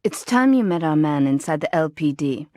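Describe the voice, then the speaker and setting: A woman playing a cool, ruthless gangster boss in a video game, composed, businesslike and quietly menacing. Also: low-pitched, lively intonation, normal speed, no echo. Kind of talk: video game cutscene acting.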